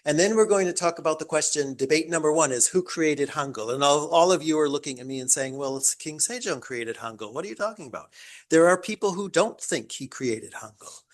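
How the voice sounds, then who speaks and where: A man's voice: a little high, fairly quick. An older American man giving a chatty academic lecture to a live audience, with joking, self-deprecating asides. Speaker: guest lecturer. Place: lecture room.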